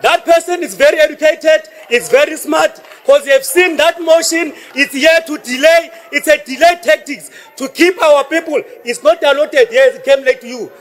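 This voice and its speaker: A South African man, a councillor giving a heated, combative speech in a council debate, often shouting. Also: very high voice, normal speed, hardly any pauses. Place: council chamber.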